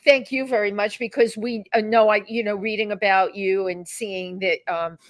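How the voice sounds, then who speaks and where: A woman's voice: medium pitch, fairly even intonation, moderate pace, dry recording. A woman co-hosting a talk show in an effusive, exclamatory, chatty way. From the Bronx, New York, United States. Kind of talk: lively unscripted talk show chat.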